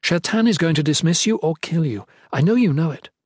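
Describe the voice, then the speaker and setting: A man's voice: a little high, expressive, a little fast, a little mellow, no echo, clean studio sound, voice pushed up. A man voicing a commanding young queen in a fantasy audiobook, imperious and pleading, desperate and sorrowful. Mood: concerned.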